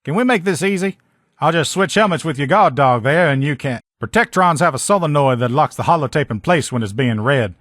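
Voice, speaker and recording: A man voicing a gruff, loyal military lieutenant in a video game, with blunt, profane, folksy soldier talk. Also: fairly high pitch, expressive, fairly quick, fairly bright tone, dry recording, clean studio sound. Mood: conversational. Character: gruff, loyal military lieutenant in power armor, protective comrade. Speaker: voice actor in a game mod. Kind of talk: video game character dialogue lines.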